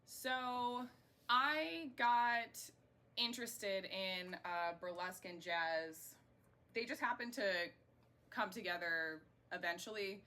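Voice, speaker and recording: A woman's voice: medium pitch, steady tone, slow, pushed and bright. An American woman in her thirties from Florida, giving chatty, rambling answers in a casual interview. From Florida, United States. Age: 30s. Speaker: interviewee, singer and burlesque performer. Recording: clear background noise.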